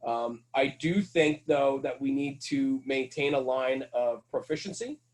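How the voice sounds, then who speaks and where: A man's voice: medium pitch, unhurried, darkish tone. A middle-aged man speaking as a podcast guest, earnest and emphatic, repeating his key points as he talks casually about his work. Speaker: guest, firefighter and fire-service radio host.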